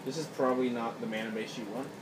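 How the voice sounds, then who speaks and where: Laid-back male card player hosting his own channel; mid pitch, recorded from a distance by a phone in a roomy, noisy room. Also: fairly even intonation, fast talker. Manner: laid-back, chuckling, talks to viewers. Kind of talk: casual play commentary. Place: indoor room at a game table.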